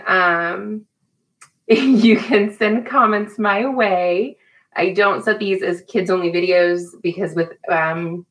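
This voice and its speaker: A woman's voice: lowish voice, gravelly, a bit bright, rough recording. Woman hosting a children's storytime stream. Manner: sing-song greetings to children by name; encouraging, addresses children directly. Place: small indoor room at home.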